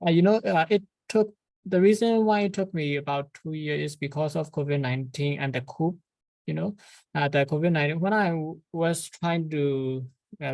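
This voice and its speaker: A woman's voice: low voice, darkish tone, dry recording, poor audio. A woman language instructor speaking on a webinar panel, hesitant and full of "uh" fillers and restarts.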